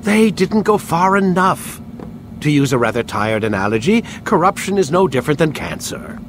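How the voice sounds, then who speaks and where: An actor playing a smug, lecturing captor in a video game, measured and condescending in how he talks. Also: fairly high pitch, very animated. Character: smug, lecturing male captor with grand theories. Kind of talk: video game cutscene acting. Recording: clear ambient hum and noise.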